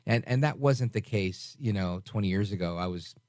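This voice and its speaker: A lowish male voice in his forties, close and dry on a studio mic. He hosts late-night radio in a loose, chatty way, often trailing off and restarting. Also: normal speed, darkish tone, no room sound. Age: 40s. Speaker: radio talk show host.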